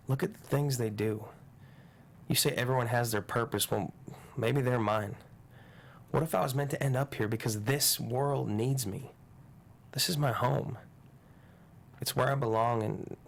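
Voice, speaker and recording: An earnest small-town teenage boy, played by a man at a screenplay table read. His mid-range voice has a gravelly creak and a sincere, stubborn streak. There is clear room noise behind him. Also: medium pitch, measured pace, long pauses. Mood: pleading, resolute.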